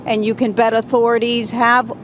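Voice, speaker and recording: A woman's voice: flat delivery, moderate pace. A woman delivering a live television news report as a reporter in the field. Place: outdoors on a city street. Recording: noisy outdoor street, traffic rumble.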